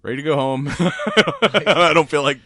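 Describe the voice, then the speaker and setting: A man co-hosting a podcast, chatting casually and rambling with laughs, his voice raspy and worn. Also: on the high side, a little slow, sharp tone, dry recording. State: raspy, voice worn from cigars and whiskey. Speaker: podcast co-host. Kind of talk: casual chat.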